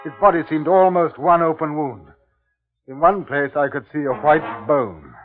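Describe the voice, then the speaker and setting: An actor playing an awed, grave official who narrates as a witness in a 1950s radio drama, solemn and dramatic. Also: male voice, fairly high pitch, moderate pace, lightly acted. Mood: urgent awe. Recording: tinny vintage radio recording with music.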